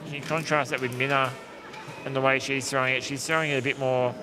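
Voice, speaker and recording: A man giving live sports commentary, calm and explanatory, often restarting his sentences as he thinks aloud. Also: mid-range voice, fast, music in the background. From Australia. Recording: live stream with steady background noise.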